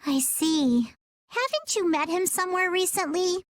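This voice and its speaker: A plucky little girl adventurer in a game dub. The voice is high and lively, spirited and assertive, recorded close and dry. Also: unhurried, no echo. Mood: earnest, hopeful. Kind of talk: video game dub acting.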